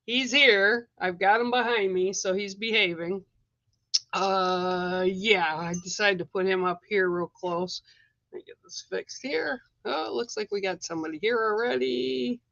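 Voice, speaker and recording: A live-stream host with a rough, hoarse voice, chatting casually with viewers and greeting them by name between coughs. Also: medium pitch, sharp tone, no room sound, dull audio.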